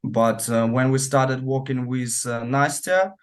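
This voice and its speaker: Male musician, slow and halting in interview, mid-pitched. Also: fairly even intonation, clear, resonant tone. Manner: hesitant, many fillers and restarts. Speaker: band musician.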